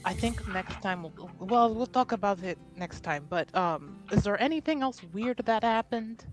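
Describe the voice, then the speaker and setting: A woman's voice: mid-range voice, lively intonation, moderate pace, soft background music, lightly acted. A woman playing a polite, controlled but exasperated noblewoman who manages an estate. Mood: composed.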